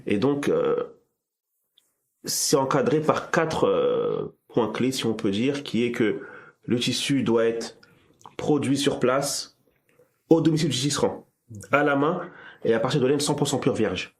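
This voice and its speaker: A man's voice: medium pitch, animated, unhurried, long pauses. Man, mid-range voice, casual discussion, restarts and repeats words while thinking. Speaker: podcast host.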